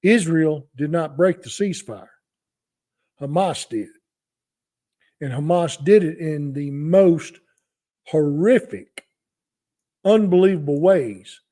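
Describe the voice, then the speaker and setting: Mellow man in his sixties, halting talker. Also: a little high. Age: 60s. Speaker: podcast co-host. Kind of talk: unscripted talk, opinion and testimonial.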